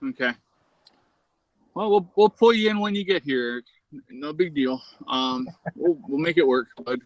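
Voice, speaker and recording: A man hosting a casual livestream, easygoing and relaxed, reading out the chat and swearing now and then. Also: fairly high pitch, moderate pace, no echo, low-quality recording. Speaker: livestream host.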